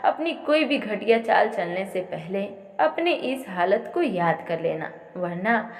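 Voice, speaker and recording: A man's voice: sits high, sing-song, measured pace, in a large hall, heavily acted. A woman voicing a cold, angry, domineering young businessman in an audio story. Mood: cold anger. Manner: threatening, domineering.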